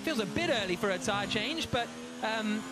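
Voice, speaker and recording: A man's voice: sits high, lively intonation, moderate pace, loud music behind the voice. A man giving live motorsport commentary as a race commentator. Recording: loud engine noise behind voice. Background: racing car engines.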